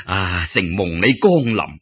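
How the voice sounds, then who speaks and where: Storybook old man played by a male narrator: husky Cantonese, courteous, humble, halting; dull lo-fi recording. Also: sits low, very animated, pitched below the performer's own voice, full character voice. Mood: courteous, genial. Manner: halting, chuckling, polite old-fashioned speech. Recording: dull lo-fi radio-style recording.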